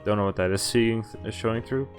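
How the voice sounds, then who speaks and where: A man streaming a visual novel, reading it aloud and commenting casually in a halting way with offhand swearing. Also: fairly low pitch, soft background music. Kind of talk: casual game walkthrough with read-aloud narration.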